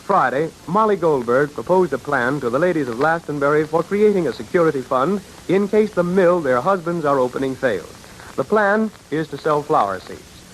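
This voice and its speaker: A man with a high, bright-edged announcer's voice sets up a 1930s radio show. His delivery is brisk and persuasive, with a faint hiss of the old recording. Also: low-quality recording.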